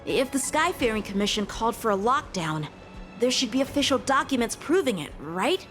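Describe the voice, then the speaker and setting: An actress playing a polite but sharp young woman lieutenant, in a game cutscene. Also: on the high side, very animated, moderate pace, soft background music. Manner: courteous, incisive. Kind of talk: video game cutscene voice acting.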